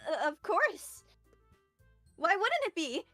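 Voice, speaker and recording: A woman puts on a bubbly schoolgirl from a visual novel, brushing worry aside with sugary cheer. The voice is extremely high and swooping, and drawn out in a slow, airy way. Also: very animated, a bit bright, dry recording, soft background music, exaggerated voice. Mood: forced cheer. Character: bubbly teenage girl hiding her sadness, falsely cheerful. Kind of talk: amateur visual novel voice acting.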